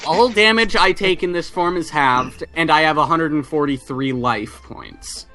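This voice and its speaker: A man's voice: fairly high pitch, expressive, measured pace, music in the background. A man chatting casually as a player in a tabletop role-playing game, explaining what his character does. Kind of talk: casual gaming chat.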